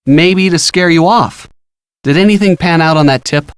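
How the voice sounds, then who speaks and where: A man's voice: fairly high pitch, normal speed, clear, resonant tone, no room sound, lightly acted. An easygoing college-age guy from a video game, played by an actor. He talks in a casual, supportive way. The recording is close and dry, with no room around his voice.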